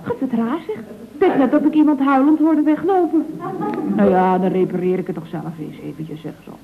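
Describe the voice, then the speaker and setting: A woman's voice: on the high side, brisk, creaky. A woman playing a chatty, naive, cheerfully helpful office secretary in an old radio comedy serial. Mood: puzzled, breezy. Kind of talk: 1970s Dutch radio comedy acting. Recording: tinny, noisy old radio recording.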